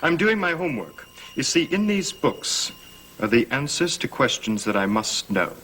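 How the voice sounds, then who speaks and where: A man's voice: mid-range voice, very animated, moderate pace, a little hiss or hum, low-quality recording. An actor playing a sly, composed stepson who taunts his stepfather in an arch, formal way in a vintage gothic TV soap. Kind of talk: 1960s-70s gothic TV soap acting. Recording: old TV soundtrack under loud music.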